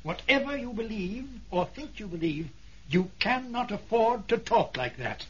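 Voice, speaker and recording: Pompous British banker, swooping intonation. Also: male voice, high-pitched, big pitch swings, normal speed, a bit bright, slightly rough, full character voice. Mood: reasoning, firm. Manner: pompous, blustering. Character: blustering upper-class British banker knight, dismissive. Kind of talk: 1970s British radio drama acting. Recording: dull, noisy 1970s radio recording.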